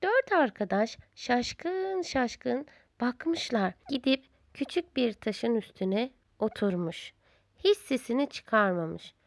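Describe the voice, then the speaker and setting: Woman storyteller, high voice, very animated intonation, unhurried, children's tale narration. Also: vocal fry, no room sound, studio quality.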